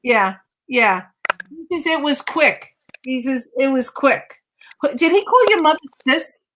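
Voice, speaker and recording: A woman's voice: high voice, sing-song, a little slow, a bit bright, a little hiss or hum, rough recording. A woman giving a conversational live mediumship reading, insistently repeating phrases and relaying messages as quotes.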